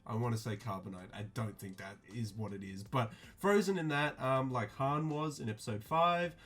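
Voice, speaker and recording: A man talking casually to his viewers, reviewing a clothing release in a flat, mildly disappointed way with lots of ums and restarts. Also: mid-range voice, moderate pace. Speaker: streetwear vlogger.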